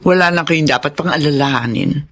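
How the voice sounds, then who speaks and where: A woman's voice: deep voice, fairly quick. A woman with a Filipino accent playing a middle-aged, well-off, remorseful mother who pleads earnestly in a radio drama. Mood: pleading, earnest. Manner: earnest, pleading, swooping emphasis.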